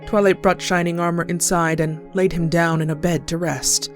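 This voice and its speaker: A woman's voice: fairly low pitch, level tone, normal speed. A woman narrating a scripted horror story as a storyteller. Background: clear background music.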